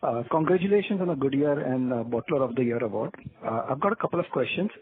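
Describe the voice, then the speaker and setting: A man asking questions as an analyst on an earnings call, with halting, searching phrasing. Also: mid-range voice, expressive. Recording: tinny phone line.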